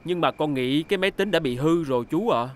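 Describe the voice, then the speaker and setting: A man's voice: fairly high pitch, animated, fast talker, close to an everyday voice. A man voicing a sensible, polite young fire truck in a dubbed children's cartoon. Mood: practical, concerned. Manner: practical, polite. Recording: loud children's music behind the voice. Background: loud children's music.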